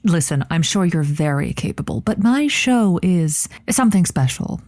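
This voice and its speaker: An actress playing a glamorous, boastful radio love-advice host with a grand, affected delivery in an audio comedy. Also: female voice, fairly low pitch, lively intonation, normal speed, darkish tone, dry recording, clean studio sound, lightly acted. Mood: grand, self-important. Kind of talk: scripted audio comedy acting.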